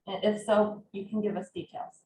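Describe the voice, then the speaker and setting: A woman's voice: mid-range voice, level tone, normal speed, dark tone. A woman moderating an online panel and reading out questions, with roomy call audio.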